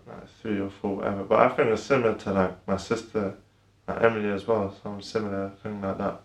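A young man with a low, level voice speaks hesitantly as a podcast guest, trailing off and filling gaps with "like". He was born in Ireland and grew up in the UK. The sound is echoey, as if recorded in a bare room. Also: flat delivery, a little fast. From born in Ireland, raised in the UK. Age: young adult. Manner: hesitant and soft-spoken, many fillers. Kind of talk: casual conversation.

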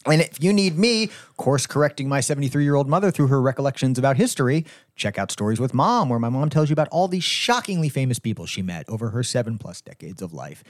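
Lively-voiced chatty podcast man, dry sound. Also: medium pitch, fast, no echo. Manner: chatty, plugging shows with playful asides. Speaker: podcast co-host. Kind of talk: casual chat.

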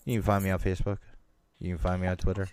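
A man's voice: low-pitched, flat delivery, racing, a little mellow. A man hosting a casual podcast chat, cracking crude jokes and swearing freely in loose banter. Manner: profane, jokey banter. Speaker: podcast host.

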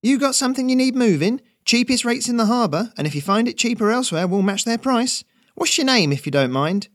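A man's voice: very high voice, animated, a little fast, dry recording, studio quality, voice pushed up. A man voicing a scrappy young boatman's son with a pushy, eager sales patter. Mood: eager hustling. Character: young male boat kid, scrappy, eager hustler.

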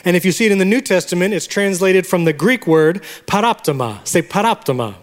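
A man's voice: sits high, expressive, brisk. A man of Puerto Rican origin preaching a sermon as pastor, teaching words and having the congregation repeat them. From Puerto Rico. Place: church sanctuary. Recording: long reverb of a large hall.

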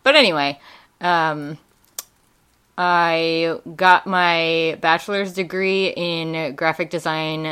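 A young woman with a Wisconsin accent talks as a podcast guest. Her voice is bright and smooth and sits a little low. She rambles easily, with fillers and laughs between thoughts. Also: steady tone, takes it slowly. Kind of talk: casual chat.

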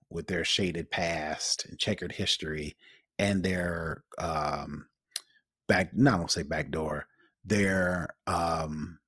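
Casting: male podcast co-host, very low husky voice, conversational, feeling his way through each point. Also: fairly bright tone, no echo, clean studio sound. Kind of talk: conversational commentary.

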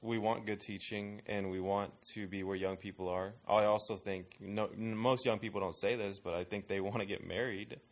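Guy with a lowish voice, rambly and thinking out loud, casual panel chat. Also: fairly even intonation, moderate pace, hardly any pauses. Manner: thoughtful, rambling with restarts. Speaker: ministry worker advising pastors on young adults.

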